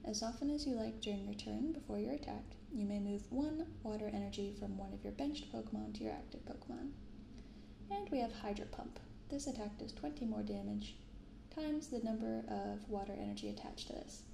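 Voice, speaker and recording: Soft-spoken, creaky-voiced young woman. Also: mid-range voice, reverberant, slight background noise. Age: young adult. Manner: unhurried, hushed commentary and reading from cards. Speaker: host of a card-opening channel. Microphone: binaural microphone.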